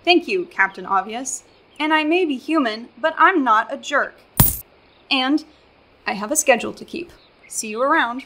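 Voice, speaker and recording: Young woman, American accent, sarcastic, incredulous, fairly high pitch, radio drama, background ambience. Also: animated, normal speed, noisy background. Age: young adult. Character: sarcastic, exasperated young human woman hiker. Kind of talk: radio play acting.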